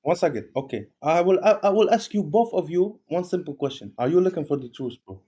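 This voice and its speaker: A man's voice: on the high side, lively intonation, a little fast, warm tone, breathy edge. A man arguing in a casual online voice-chat debate, insistent and talking over others, calling everyone bro. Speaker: debate participant. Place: voice chat call.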